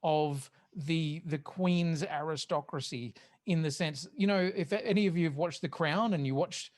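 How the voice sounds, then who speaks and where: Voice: mid-range voice, measured pace, a little mellow. Casual podcast panelist explaining things.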